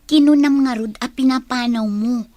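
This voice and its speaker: A woman's voice: sits high, moderate pace, no echo, lightly acted. An actress playing a frank, questioning young female friend with a Filipino accent in a radio drama. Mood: observant, probing. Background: soft music.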